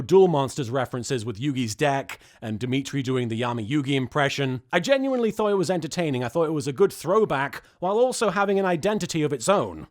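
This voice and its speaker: A male reaction host with a high voice and a very lively range. He recaps with heavy sarcasm and incredulous exasperation, swearing freely as he goes. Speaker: host of an episode reaction series.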